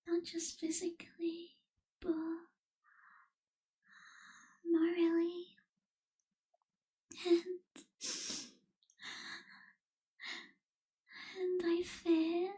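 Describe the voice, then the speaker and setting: A woman acts out a gentle, sorrowful young female vampire for an ASMR roleplay. The voice is very high and soft, slipping often into a breathy whisper. The delivery is slow and very even, with wistful, formal wording. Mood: grave, troubled.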